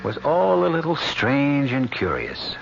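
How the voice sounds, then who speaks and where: A male host narrates a vintage radio mystery. He is slow and portentous, with dramatic rises and falls. The broadcast sounds muffled. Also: medium pitch, wildly swooping pitch, vocal fry, noisy background. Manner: deliberate, portentous.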